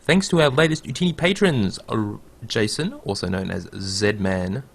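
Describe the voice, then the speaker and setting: Gravelly-voiced man hosting a podcast. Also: fairly low pitch, animated, moderate pace. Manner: reads a write-up aloud in a mock movie-trailer voice. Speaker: podcast host. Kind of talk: casual gaming podcast chat.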